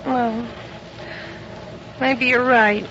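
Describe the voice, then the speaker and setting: A woman's voice: a little high, fairly even intonation, extremely slow. An actress playing a gangster's devoted, uneasy lover who is in on his schemes, in 1940s radio crime drama style. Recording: muffled, hissy old 1940s radio recording.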